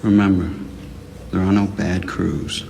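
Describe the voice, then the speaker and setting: Gravelly, tough military master chief. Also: male voice, sits low, level tone, unhurried, warm tone, slight background noise, exaggerated voice. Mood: stern, challenging. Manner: blunt, commanding. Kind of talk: film drama acting.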